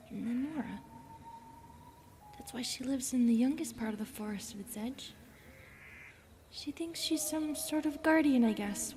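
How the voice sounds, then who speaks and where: A shy female forest spirit, acted by a woman, high-voiced and soft, with a breathy delivery that often slips toward a whisper. She is gentle and halting, apologizing for herself as she addresses the listener in a fantasy roleplay. Ambient noise sits behind the voice. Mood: quiet, reflective. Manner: hesitant, self-effacing, apologetic, halting.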